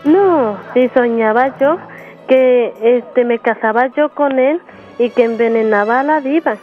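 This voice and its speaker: A woman acts a cheeky, naive Mexican country girl in a comic radio soap. Her high voice is coy and whiny, on a dull lo-fi recording. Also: a little slow, lightly acted. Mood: naive, complaining. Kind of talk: comedic radio soap acting. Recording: dull lo-fi, music behind.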